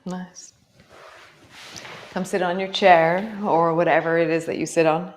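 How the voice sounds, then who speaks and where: A middle-aged woman, gently guiding a yoga class with a slightly stuffy nose. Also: lowish voice, fairly even intonation, a little hiss or hum. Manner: gentle, encouraging, drawn-out cues. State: stuffy nose from a coming cold. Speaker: yoga teacher. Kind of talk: guided yoga class instruction.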